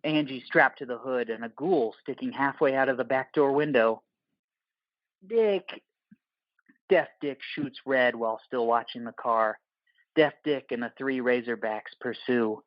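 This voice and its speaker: A man reads scripted narration for an audio play. His voice sits at a middle pitch, and the recording is very dry with a dulled top end. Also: steady tone, normal speed, no echo, dull audio.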